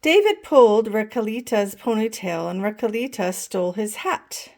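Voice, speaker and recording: A woman reading a children's picture book aloud as a storytime host, expressive and pausing to address young listeners. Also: medium pitch. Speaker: storytime reader.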